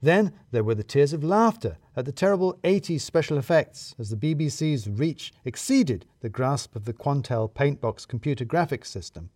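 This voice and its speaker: Wry male storyteller with animated intonation. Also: mid-range voice, no room sound, studio quality. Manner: wry, theatrical self-pity, deliberate emphasis. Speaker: narrator. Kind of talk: scripted first-person comic story narration.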